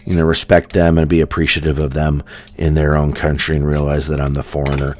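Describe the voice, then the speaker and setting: A man's voice: extremely low pitch, completely flat delivery, fairly quick, darkish tone. An American man chatting casually and at length with a live audience as a streamer, with a General American accent and lots of ums and likes. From United States. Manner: rambling, filler-heavy, with many ums and likes. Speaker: coin hunting streamer.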